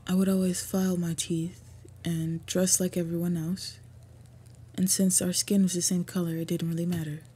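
Breathy, mellow half-orc woman, acted by a woman. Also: on the low side, flat delivery. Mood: earnest, reminiscing. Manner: formal, earnest, measured. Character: earnest half-orc woman, devoted lover, respectful suitor to royalty. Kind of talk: scripted fantasy roleplay audio.

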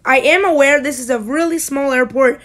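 School kid doing a hobby vlog voiceover, pretty high voice, kinda rambly and casual, backtracks on stuff. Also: fairly quick, fairly bright tone. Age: school-age youngster. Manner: rambling, self-correcting. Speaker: hobby channel host.

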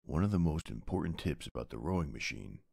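A man's voice: a bass voice, animated, fast, creaky, mellow, husky, no room sound, clean studio sound, full character voice. A man playing a flirty, laid-back gym bro in an ASMR roleplay, drawling and teasing in an easygoing way.

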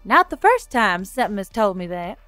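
A woman's voice: mid-range voice, big pitch swings, moderate pace, dry recording, faint music behind. Woman doing a sardonic young adventurer woman. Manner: sour, sarcastic, bright and raised.

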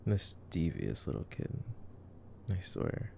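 Low, gravelly man in casual ASMR chat. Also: very animated, measured pace, mellow, a little hiss or hum. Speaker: ASMR roleplay voice actor playing a boyfriend. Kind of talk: ASMR sleep-aid movie commentary, casual chat.

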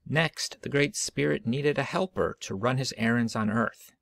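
Creaky-voiced man reading a storybook aloud. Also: medium pitch, moderate pace, fairly bright tone, no echo. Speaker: storybook reader. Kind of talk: expressive read-aloud of a children's picture book.

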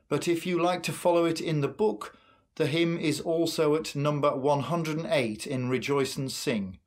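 An older man leading church worship and prayer in a solemn, measured manner. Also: mid-range voice. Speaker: worship leader. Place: indoor room at home, in front of curtains.

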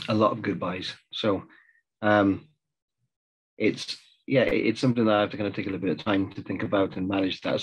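A man's voice: deep voice, breathy edge, muffled recording. A middle-aged man from South Africa, a therapist, talking hesitantly with many fillers on a video call. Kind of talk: reflective group discussion on video call. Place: home room. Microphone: video call webcam.

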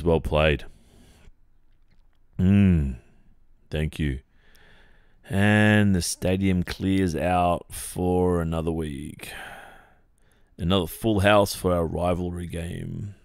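A man's voice: low voice, smooth, dry recording, clean studio sound. An Australian man playing a friendly, teasing young football captain in a hesitant, casual ASMR boyfriend roleplay. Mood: grateful, winding down. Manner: hesitant, casual, murmuring hums and chuckles.

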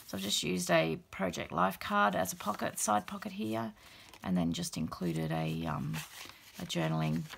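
A middle-aged woman talks through her handmade journal at a craft table, her voice croaky and gravelly from illness, with a heavy fry and a lowish tone. She speaks in a relaxed, chatty way, full of soft fillers. A faint hiss sits under the recording. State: croaky after the flu. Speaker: craft channel host. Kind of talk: casual show-and-tell of a handmade journal. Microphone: overhead camera, hands only.

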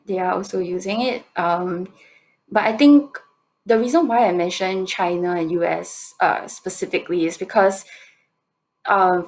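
A woman in her twenties with a Singaporean accent, answering questions on a video call in halting, hesitant phrases. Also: medium pitch, a little hiss or hum, poor audio. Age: 20s. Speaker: competition presenter answering judges' questions. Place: indoor room, on a video call.

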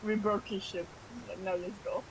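A woman's voice: mid-range voice, level tone, moderate pace, background noise. A woman laughing and joking in casual group voice chat while gaming. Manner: laughing, playful. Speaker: gamer in voice chat.

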